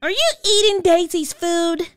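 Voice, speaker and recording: A woman voicing an excited young girl detective in a storybook read-aloud, with a high, squealing, eager voice. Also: a little slow, no room sound, clean studio sound, faint music behind.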